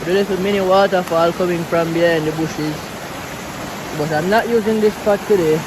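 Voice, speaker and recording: Relaxed Jamaican vlogger, a man with a high voice and a Patois accent, filmed outdoors by a river with noisy water behind. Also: moderate pace. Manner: relaxed, chatty, mixing Patois into English. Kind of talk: casual vlog talk outdoors.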